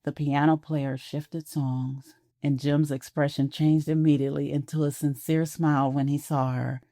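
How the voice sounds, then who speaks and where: A woman narrating an audio drama in a soft, measured storytelling voice, recorded on a close studio mic. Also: deep voice, little pitch movement, no echo.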